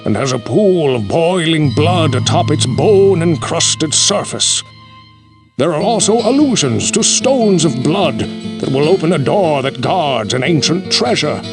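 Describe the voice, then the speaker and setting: A man's voice: a little high, vocal fry, full character voice. A man playing a learned, mysterious lore-keeper in a fantasy video game, speaking formally and theatrically. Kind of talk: video game character acting.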